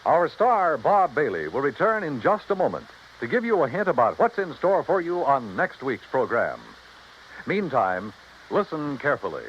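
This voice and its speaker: A man's voice: on the high side, sing-song, moderate pace, slight background noise. An American man announcing an old-time radio show in a classic mid-century broadcast style. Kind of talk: 1950s-60s radio announcing. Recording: muffled old radio recording with music.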